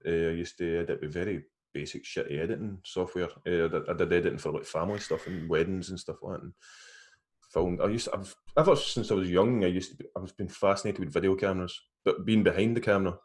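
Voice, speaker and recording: Scottish man, very low voice, rambling casual chat, video call sound. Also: steady tone, quick pace, darkish tone. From Scotland. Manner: rambling, restarts often. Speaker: guest vape reviewer.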